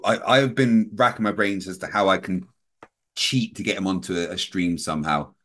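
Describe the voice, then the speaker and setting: A middle-aged man co-hosting a casual livestream over a video call, earnest and halting. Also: deep voice, fairly bright tone. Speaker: co-host.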